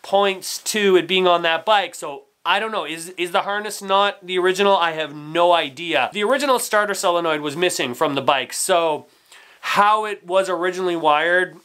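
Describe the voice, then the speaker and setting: A man's voice: high voice, normal speed, pushed and bright, smooth. A man in his forties explaining garage work step by step in a casual, detailed, conversational way. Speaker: host of a motorcycle build channel. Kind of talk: casual explanatory workshop talk. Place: garage workshop with foam-padded wall.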